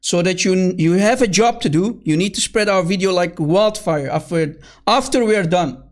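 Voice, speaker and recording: High-voiced man in a heated online debate. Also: normal speed. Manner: heated, scornful, calls opponents names; repeatedly addresses viewers as guys and brother. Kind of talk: combative online debate commentary. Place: at his computer.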